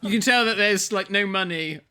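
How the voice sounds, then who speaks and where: High, bright, animated man chatting. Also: normal speed, clean tone. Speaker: commentary co-host. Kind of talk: casual film commentary chat.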